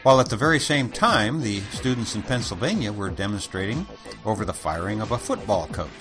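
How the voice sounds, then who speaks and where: A man's voice: on the low side, animated, a little fast. A man hosting a podcast, narrating thoughtfully with frequent "uh"s. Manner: thoughtful, with many fillers. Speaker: podcast host. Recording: music bed behind voice.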